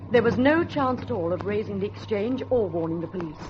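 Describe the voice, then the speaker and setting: A woman's voice: medium pitch, lively intonation, a little fast, close to an everyday voice. A woman playing an anxious, determined young niece in a suspenseful radio drama, speaking and narrating in an earnest, literary way. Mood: grave, suspenseful. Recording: muffled old radio recording, faint noise.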